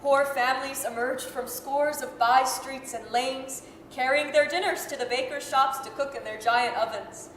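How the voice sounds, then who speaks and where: A woman playing the measured narrator of a radio-style staged reading. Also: high-pitched, steady tone, a bit bright. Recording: echoey hall, faint hiss.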